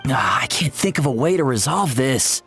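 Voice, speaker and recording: Casting: earnest, baffled male game character, animated voice, soft music underneath. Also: mid-range voice, normal speed. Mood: puzzled. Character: puzzled, earnest male arena worker. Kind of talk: video game character dialogue.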